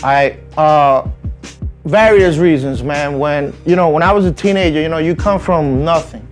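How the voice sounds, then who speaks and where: A man's voice: a little high, normal speed, soft background music. Easygoing man in his forties, chatting. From Dominican Republic. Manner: easygoing, many "you know what I mean" fillers. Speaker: guest. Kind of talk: casual interview chat.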